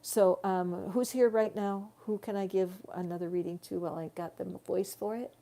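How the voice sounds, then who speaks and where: A woman giving a live tarot reading in slow, soothing spiritual guidance, her voice growing tired. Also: medium pitch, steady tone, creaky, mellow. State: tiring voice. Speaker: tarot reader.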